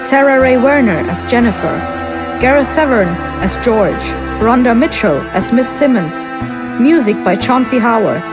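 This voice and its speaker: A woman's voice: on the high side, lively intonation, normal speed. A woman reading scripted credits as an announcer for an audio drama. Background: loud music.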